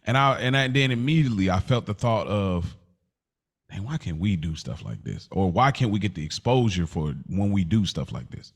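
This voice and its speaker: A man's voice: lowish voice, big pitch swings, moderate pace, vocal fry, slightly rough. An American man co-hosting a podcast, chatting casually in a slangy, reflective and candid way. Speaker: podcast co-host. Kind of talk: casual conversation.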